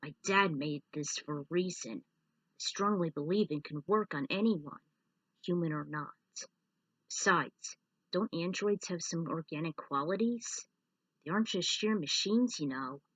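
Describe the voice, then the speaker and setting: A woman voicing a calm, hopeful young male doctor in a story reading, measured and reassuring. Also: lowish voice, no room sound, poor audio. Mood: calm conviction.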